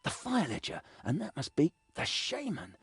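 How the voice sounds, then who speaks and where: A cowardly fantasy-game thief, his voice raised and jumping around in pitch. He is hesitant and wryly reluctant, heard dry and close. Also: huge pitch swings, normal speed, fairly bright tone, slightly rough, dry recording, faint music behind, full character voice. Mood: nervous wariness. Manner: hesitant, sardonic.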